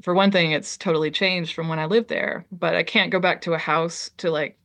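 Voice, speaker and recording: A woman's voice: on the low side, fairly even intonation, fairly quick, fairly bright tone. A woman artist chatting casually as an interview guest, hesitant and full of ums, trailing off her sentences. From Liberia.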